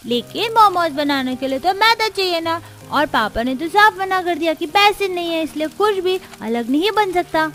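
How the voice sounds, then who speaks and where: A woman's voice: extremely high pitch, expressive, normal speed, hardly any pauses, fairly bright tone, faint music behind. A woman voicing an eager young child in a Hindi cartoon story, explaining things earnestly. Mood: eager, pleading.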